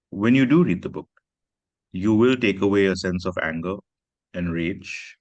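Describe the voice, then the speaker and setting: Deep-voiced reflective male author from Pakistan. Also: no room sound. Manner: earnest, reflective, frequent "you know" and "right". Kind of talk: conversational literary talk. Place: literary festival stage.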